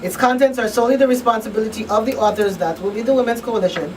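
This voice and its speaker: Female talk radio host, higher voice, emphatic and conversational, a little off-mic with faint noise. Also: rapid-fire, recorded from a distance. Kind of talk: casual radio talk, partly reading announcements. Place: radio studio.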